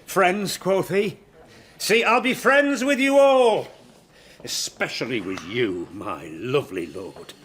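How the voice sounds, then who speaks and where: A man's voice: on the high side, animated, slow pace, a bit bright, slight background noise. A middle-aged, grieving court officer in a radio verse drama. His voice swings widely as he turns volatile and falsely courteous. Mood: mock-friendly.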